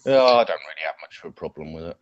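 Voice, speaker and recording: Norwegian man, slight British accent, very low voice, easygoing and hesitant. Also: fast talker, no echo, highs cut off. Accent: slight British English. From Norway. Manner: relaxed, hesitant with fillers. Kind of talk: casual chat.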